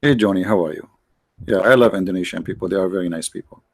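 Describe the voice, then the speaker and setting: A man's voice: deep voice, gravelly, breathy edge, dry recording. Sarcastic man on a dry close mic. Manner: sarcastic, mocking, halting with many restarts. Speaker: livestream host. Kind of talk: casual livestream monologue.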